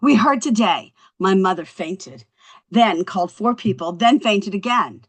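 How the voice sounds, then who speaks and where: Actress playing lively, brash young wife. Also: female voice, medium pitch, measured pace. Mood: triumphant, cheeky. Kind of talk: scripted comedy table read.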